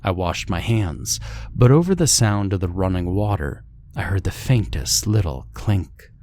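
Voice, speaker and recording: A man's voice: low voice, expressive, measured pace, darkish tone. A man reading a scripted first-person horror story as its narrator.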